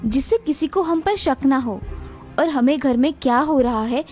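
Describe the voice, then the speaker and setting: A woman's voice: high-pitched, moderate pace, darkish tone, faint music behind, lightly acted. A woman voicing a firm, cautious elder daughter-in-law in a cartoon family story. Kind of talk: Hindi cartoon story dialogue.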